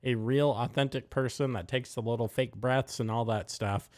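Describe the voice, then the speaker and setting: Guy co-hosting a live chat show, blunt and opinionated, tosses in quick asides. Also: medium pitch, fairly even intonation, a little mellow. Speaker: podcast co-host. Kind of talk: casual political talk show chat.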